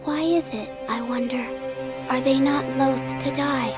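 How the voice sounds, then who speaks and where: An actress playing a serene, mysterious young holy maiden in a video game, gentle and solemn in manner. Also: female voice, high-pitched, steady tone, unhurried, over loud music. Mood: solemn, curious. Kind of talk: dramatic video game acting.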